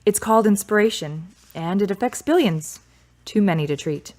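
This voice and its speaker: A woman's voice: medium pitch, lively intonation, moderate pace, darkish tone, natural voice. A woman playing a grieving, frank young woman in a radio drama, with dramatic, earnest phrasing. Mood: teasing.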